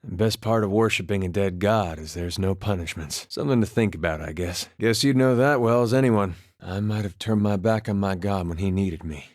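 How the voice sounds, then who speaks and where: A man's voice: on the low side, a little fast, few pauses, darkish tone, no echo, clean studio sound, natural voice. A man voicing a laid-back, wry farmer turned soldier in a video game, with a plainspoken, understated folksy manner. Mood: wry, resigned. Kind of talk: performed game dialogue.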